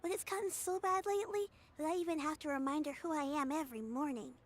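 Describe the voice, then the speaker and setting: A woman's voice: sits high, quick pace, lightly acted. A woman voicing a cheerful young girl who cares for her grandmother, polite and upbeat, in a game. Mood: brave. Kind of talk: game voice acting.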